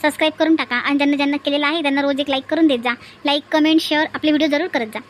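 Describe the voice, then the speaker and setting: A woman's voice: sits high, fairly even intonation, very fast, slight background noise, lightly acted. A woman voicing a bossy, chatty cartoon village aunt with a Vidarbha Marathi accent, nagging and repeating herself. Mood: urging. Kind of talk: exaggerated comic cartoon dialogue.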